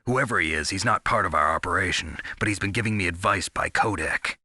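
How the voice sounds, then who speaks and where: Low, husky veteran soldier. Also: male voice, fairly quick, sharp tone, no room sound, full character voice. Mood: serious. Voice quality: gravelly, husky. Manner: terse, dry, serious. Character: gruff, laconic veteran male soldier on covert mission. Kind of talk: video game radio dialogue.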